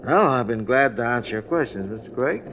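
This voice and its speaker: A man's voice: medium pitch, lively intonation, fairly quick, a bit bright. A man playing an obliging, chatty train conductor in a 1950s radio drama, rambling politely and hesitantly. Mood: helpful, chatty. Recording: dull, noisy 1950s radio recording.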